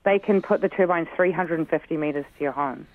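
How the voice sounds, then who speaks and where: A man's voice: a little high, a little fast, no room sound. A man from New Zealand with a New Zealand accent, speaking earnestly and with frustration as a community spokesperson calling in to a radio show. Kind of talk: earnest phone-in interview. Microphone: phone. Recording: tinny phone line.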